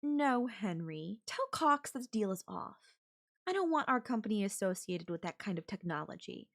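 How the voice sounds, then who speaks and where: Woman acting as wealthy female CEO, imperious, formal, coldly condescending, controlling, audio roleplay, mid-pitched voice. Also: very animated, normal speed. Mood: curt, irritated.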